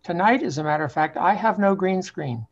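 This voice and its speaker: An older man talking casually in a halting, explanatory way over a video call from a home office. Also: high-pitched, expressive. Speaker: amateur actor new to the stage. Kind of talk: casual reflective talk. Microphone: computer on a video call. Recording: dull lo-fi video call audio.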